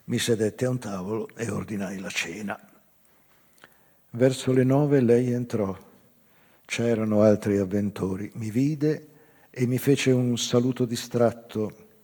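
Slow, haunted man remembering love. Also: mid-range voice, dark tone, husky. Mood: reminiscing. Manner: slow, deliberate, pauses, sometimes dropping to near whisper. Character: wistful man recounting an old love, intense and haunted. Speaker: actor narrating a literary monologue. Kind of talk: theatrical dramatic reading of a first-person story. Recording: reverberant hall, live guitar music behind. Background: plucked guitar music.

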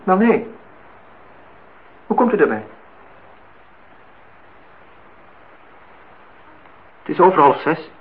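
A man's voice: a little high, sing-song, normal speed, husky, phone-quality sound. An actor playing a guarded, prickly, self-deprecating man in a naturalistic radio drama, on a noisy old recording. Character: reserved, prickly, self-deprecating male amateur painter.

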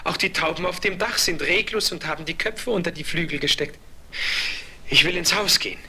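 An actor playing an ardent, fearless young prince in a 1970s German fairy-tale radio play, speaking theatrically and deliberately. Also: male voice, fairly high pitch, sharp tone, slightly rough, exaggerated voice. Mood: amazed, amused. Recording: faintly noisy, clicking vinyl record.

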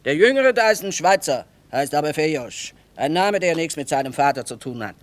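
A woman's voice: deep voice, expressive, a little fast. An actress playing a shrewd, defiant middle-aged mother who peddles in wartime, blunt and dry-witted, in a German radio play. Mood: defiant, tart.

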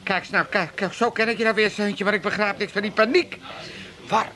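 A man's voice: sits high, fairly even intonation, brisk, a crowd in the background, natural voice. An actor playing a grumbling, cheeky old working-class father, blustering colloquially in a comic radio drama. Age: older. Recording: faint tape hiss.

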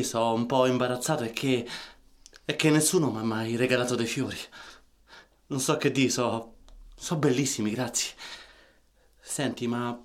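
A man's voice: mid-range voice, lightly acted. An actor playing a shy, earnest man with a Roman accent in a radio play, hesitant and repeating himself.

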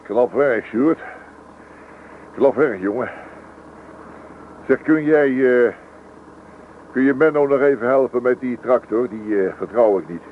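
A man's voice: medium pitch, lively intonation, a little slow, pauses often, lightly acted. A man playing a stern, thrifty middle-aged farmer father in a Dutch radio play, blunt and firm. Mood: approving, brisk. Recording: noisy tinny old radio recording.

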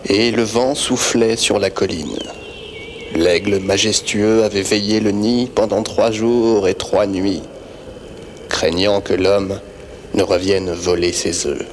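Slow-spoken Native storyteller played by a man, noisy ambience. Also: fairly low pitch, fairly even intonation. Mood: solemn storytelling. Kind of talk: French western audio drama, fable-like storytelling.

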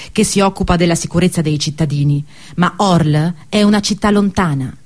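A woman narrating a scripted literary travel tale for radio theatre, with measured, deliberate phrasing. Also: fairly low pitch, a little mellow.

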